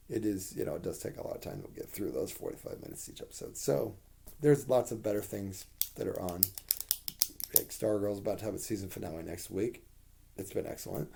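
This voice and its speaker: This is a man whose voice is hoarse and rough, sitting low and dark with a gravelly edge. He rambles through a casual review, piling up fillers and the odd swear word as he speaks his mind.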